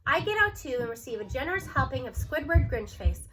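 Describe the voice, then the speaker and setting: A woman reading a novel aloud as narrator, with expressive storybook delivery. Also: high-pitched, brisk, background noise.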